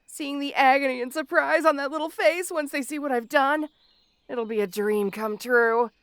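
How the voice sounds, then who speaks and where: High-pitched, gloating female supervillain. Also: a bit bright, dry recording. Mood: gleeful scheming. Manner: theatrical, taunting, mock-sweet, drawn-out words. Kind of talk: scripted audio roleplay addressed to the listener.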